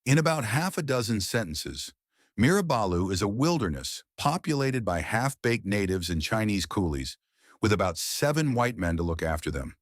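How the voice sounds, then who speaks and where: A man's voice: fairly low pitch, big pitch swings, moderate pace, no room sound. A man playing a dry, good-humored middle-aged English doctor in a pulp story, given to wry understatement. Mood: grumbling.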